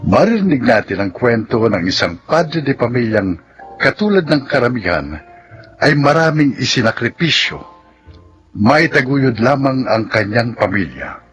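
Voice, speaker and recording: A man's voice: mid-range voice, very animated, a little slow. A man announcing a radio drama in solemn, scripted, dramatic narration. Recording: loud music under voice. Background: loud background music.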